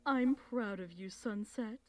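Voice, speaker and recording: A woman voicing a regal, motherly pony princess and mentor in a fan fiction reading, gentle, assured and formal. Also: medium pitch, unhurried, close to an everyday voice. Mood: proud, motherly. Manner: formal, stately, motherly phrasing.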